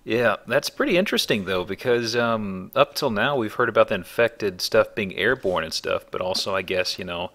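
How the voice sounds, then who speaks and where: Male let's play host, deep voice, bright forceful tone, casual commentary. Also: normal speed, few pauses.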